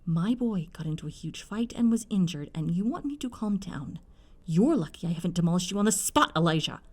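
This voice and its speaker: A woman's voice: fairly low pitch, animated, a little fast, darkish tone. A woman voice-acting a middle-aged, fiercely protective, doting mother in an audio roleplay, effusive and fussing in manner. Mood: indignant.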